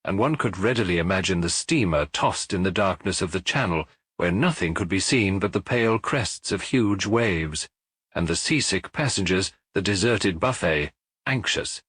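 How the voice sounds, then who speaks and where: Expressive low-voiced British male narrator. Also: normal speed, breathy edge, no echo. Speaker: audiobook narrator. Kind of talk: scripted literary narration of a detective story.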